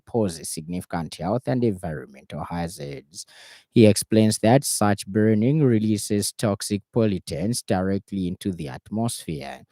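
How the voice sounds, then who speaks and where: Zambian guy reading the radio news, lowish soft-edged voice, formal, very dry studio sound. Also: dry recording. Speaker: news reader. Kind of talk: scripted radio news bulletin.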